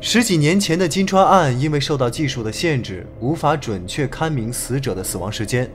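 A man's voice: mid-range voice, expressive, fairly quick, soft background music, lightly acted. An actor playing a confident young police detective in an audio drama, laying out his reasoning in an articulate, methodical way. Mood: earnest, analytical. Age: young adult. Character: confident young male police detective, analytical, returned from abroad. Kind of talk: Chinese audio drama acting.

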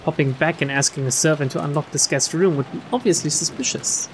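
A man's voice: on the high side, fairly even intonation, brisk, a little hiss or hum, lightly acted, rough recording. A man voicing a proud, anxious, dutiful noblewoman in formal, dramatic inner monologue for a mystery visual novel.

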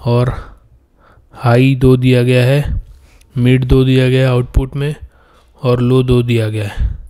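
A man's voice: mid-range voice, level tone, slow, warm tone. A man giving casual, step-by-step unboxing commentary in a plain explaining manner. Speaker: product unboxing presenter.

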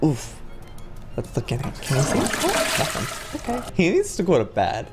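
A man in his twenties giving playful gameplay commentary, excitable, with exaggerated reactions. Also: on the high side, moderate pace, loud music behind the voice. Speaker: gaming video host.

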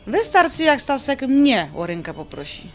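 A high female voice: a young fiancée in a radio play, perky and eager, folksy in her speech and cheeky with her answers. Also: sing-song, normal speed, faint music behind. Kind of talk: radio drama acting.

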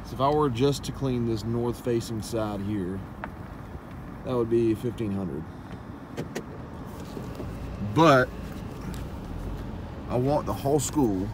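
A man's voice: medium pitch, a little slow, long pauses. A young man talking casually to viewers while driving, filmed on a phone inside a car. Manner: casual, chatty, addresses viewers as guys. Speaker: pressure washing business owner. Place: inside a parked or moving car. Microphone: phone camera held at arm's length. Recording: phone recording in a car with road noise. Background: car and outdoor noise.

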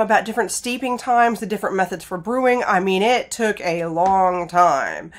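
A woman in her thirties, a bit hoarse from a sinus infection, chatting casually to camera in a product review with lots of "like" and "you know.". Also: a little high, fairly bright tone. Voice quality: chatty, rambling, with many "like" and "you know" fillers. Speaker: beauty blogger reviewing a tea box. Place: home studio with a sparkly backdrop. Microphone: camera framing, chest-up to a fixed camera.

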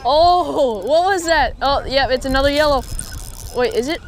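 A young man chatting casually and excitedly as he fishes, in slangy, exclamatory speech, recorded outdoors on an action camera. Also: very high-pitched, normal speed. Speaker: fishing vlogger. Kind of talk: casual excited chat while fishing. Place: outdoors on a rocky reservoir shore. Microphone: body-worn action camera.

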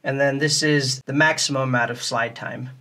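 A man's voice: medium pitch, steady tone, normal speed, over music. A man giving a casual, step-by-step tutorial in a plain, methodical way. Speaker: music tutorial host.

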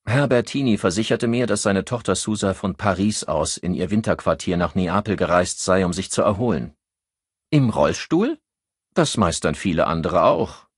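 Man playing a careful, husky male detective. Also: deep voice, lively intonation, moderate pace, no echo, studio quality. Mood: cautious, factual. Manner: careful, factual reporting. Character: cautious, dutiful male police detective reporting to his boss. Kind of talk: audiobook character dialogue.

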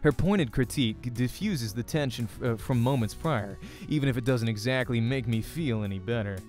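A man's voice: mid-range voice, moderate pace, a little mellow. A man hosting a let's play, informally reading visual novel narration aloud. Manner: informal, breaks off to comment. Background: background music.

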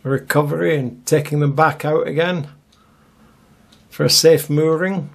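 A man's voice: on the high side, steady tone, a little slow, vocal fry. A man narrating his own seaside video in a casual, chatty way with wry asides. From Scotland. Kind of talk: casual voice-over commentary.

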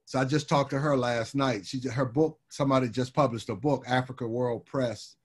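A man's voice: medium pitch, steady tone, moderate pace, breathy edge. An older man from Birmingham, Alabama, telling easygoing, rambling stories as a guest over a video call from home. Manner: easygoing, rambling storyteller with lots of "you know". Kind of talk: casual interview storytelling. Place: home room with wood shutters and framed pictures.

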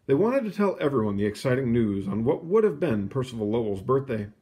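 A man reading a children's storybook aloud in an expressive, explanatory way, with casual asides. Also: mid-range voice, a little fast. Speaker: storybook reader.

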